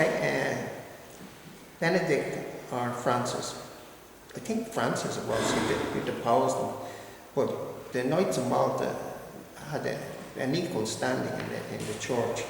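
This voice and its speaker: A man's voice: mid-range voice, creaky, slight background noise. A man giving a history lecture from his notes, reading steadily and then breaking off into hesitant asides. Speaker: local history speaker. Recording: echoey hall recorded from far off.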